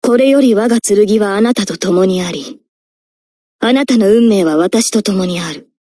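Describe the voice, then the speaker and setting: Woman acting a solemn, mellow-voiced sworn warrior. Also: mid-range voice, quick pace, no room sound, studio quality, close to an everyday voice. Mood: solemn resolve. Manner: formal, declamatory, resolute. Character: solemn sworn female warrior spirit, formal and resolute. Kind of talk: dramatic anime game voice acting.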